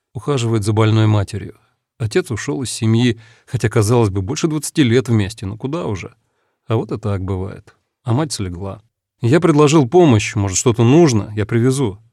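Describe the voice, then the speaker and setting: A man narrating a first-person story in a calm, reflective, measured way. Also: medium pitch, no room sound, studio quality. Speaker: audiobook narrator.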